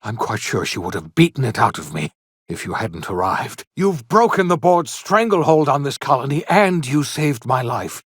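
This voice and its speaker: An actor playing an elderly, guilt-ridden rogue scientist in a video game, theatrical and emphatic with a husky, breathy voice. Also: male voice, mid-range voice, very animated, moderate pace, a bit bright, exaggerated voice. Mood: relieved, grateful. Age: older. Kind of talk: dramatic video game voice acting.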